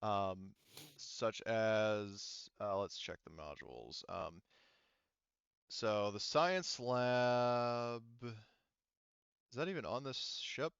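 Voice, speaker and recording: Halting man game master, dry close sound. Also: on the low side, animated, gravelly, fairly bright tone, clear, resonant tone, no echo, highs cut off. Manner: halting, self-interrupting, wordy. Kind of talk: casual tabletop game explanation.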